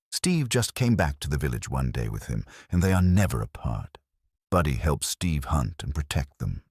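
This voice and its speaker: A man's voice: extremely low pitch, breathy edge, no echo, studio quality. A man reading a first-person fan fiction story aloud in diary-like entries, as an amateur narrator.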